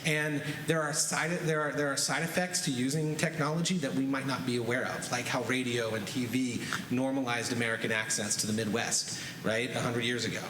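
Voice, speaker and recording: A man's voice: mid-range voice, little pitch movement, a little fast, few pauses. A man speaking on a conference panel, chatty and reflective, with casual asides. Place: large hotel ballroom. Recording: roomy ballroom reverb, faint noise.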